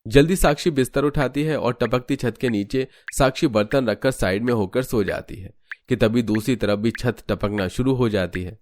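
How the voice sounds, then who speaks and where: A man's voice: medium pitch, normal speed, darkish tone, no echo, faint music behind. A man narrating a scripted cartoon story as a storyteller.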